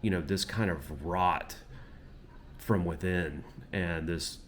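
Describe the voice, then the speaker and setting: A man's voice: low-pitched, slow, a little hiss or hum. A man from Florida talking casually to his camera outdoors at dusk, rambling and leaning on "you know" and "um". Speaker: travel vlogger. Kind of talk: casual reflective monologue to camera.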